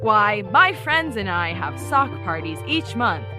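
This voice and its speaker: A woman playing an earnest, prim investigative podcast host, narrating and interviewing in a measured, composed way. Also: mid-range voice, very animated, over music. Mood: scripted ad cheer. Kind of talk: scripted true-crime parody narration.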